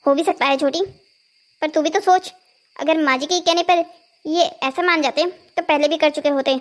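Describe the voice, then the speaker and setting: High-pitched melodramatic young daughter-in-law played by a woman. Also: fairly even intonation, moderate pace, close to an everyday voice. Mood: indignant. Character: young daughters-in-law, shopping-obsessed and quick to complain. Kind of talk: Hindi cartoon family drama dialogue.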